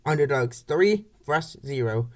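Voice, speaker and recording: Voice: medium pitch, animated, a little slow. A baseball play-by-play announcer reading scripted commentary for a comic dub in short, clipped phrases.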